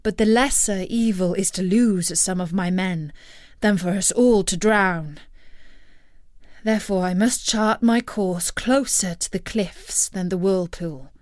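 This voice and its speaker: A woman reads as a shrewd, grave male captain in an ancient Greek storybook tale. He speaks slowly and deliberately, weighing grim choices. The recording is close and dry. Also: medium pitch, darkish tone, no echo, close to an everyday voice. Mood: grim, resolved.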